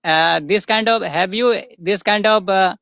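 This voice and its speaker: A young man from India with an eastern Indian accent, chatting casually in halting learner English and repeating words as he goes. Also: sits high, creaky, bright, forceful tone, no room sound. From Jharkhand, India. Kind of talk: casual practice conversation.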